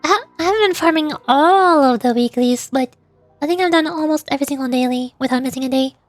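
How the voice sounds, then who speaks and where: Girl streamer just rambling while gaming, pretty high voice, bit of music under it. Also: fast, no echo. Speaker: streamer, VTuber. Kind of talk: casual livestream chat while gaming.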